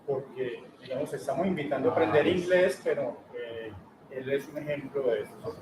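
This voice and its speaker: A man's voice: mid-range voice, fast. Audience guy asking a question, far from the mic, noisy echoey room. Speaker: audience member. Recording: distant, noisy, echoey hall.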